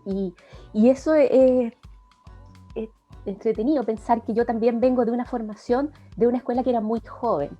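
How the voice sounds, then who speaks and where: A woman's voice: a little high, moderate pace, warm tone. A middle-aged woman from Chile with a Chilean accent, answering conversationally as an interview guest. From Valparaíso, Chile. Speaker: interview guest, psychology lecturer. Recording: dull lo-fi audio, music behind.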